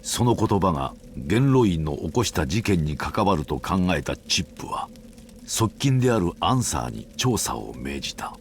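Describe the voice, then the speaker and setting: An actor playing a solemn, portentous male narrator in a video game story, speaking slowly and gravely. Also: deep voice, sing-song, a little hiss or hum. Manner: slow, grave delivery.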